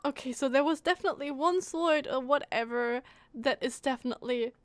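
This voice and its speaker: A woman's voice: sits high, a little fast, no echo. A woman streamer giving casual game commentary, thinking aloud in an empathetic way. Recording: game music behind the voice.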